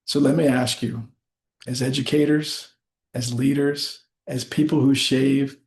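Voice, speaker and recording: A man giving a rehearsed motivational speech as a storyteller, speaking deliberately in short phrases with dramatic pauses. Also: medium pitch, fairly even intonation, creaky, a little mellow.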